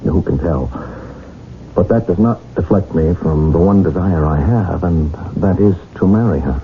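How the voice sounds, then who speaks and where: An actor playing an earnest, lovestruck young gentleman in a radio drama, speaking formally and ardently. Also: low voice, animated, normal speed, a little hiss or hum. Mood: earnest, determined. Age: young adult. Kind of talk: radio drama acting with first-person narration. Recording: muffled vintage radio recording, music behind.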